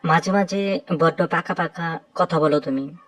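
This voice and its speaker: Lady doing a calm teasing young pilot dude, lower voice, sounds a little far from the mic. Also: measured pace, no echo. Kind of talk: romantic audio story acting.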